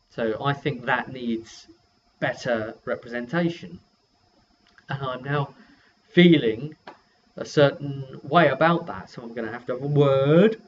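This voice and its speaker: Man rambling, slightly low-fi recording. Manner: rambling, thinking aloud. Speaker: tabletop game master. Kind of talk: casual vlog recap of a role-playing session. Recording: dull lo-fi sound with background noise.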